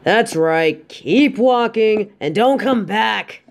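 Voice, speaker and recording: A man voicing a surly, menacing wolf bandit in an audio drama, gruff and dismissive. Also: sits high, expressive, slow pace, a little hiss or hum, exaggerated voice. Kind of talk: fan audio drama acting.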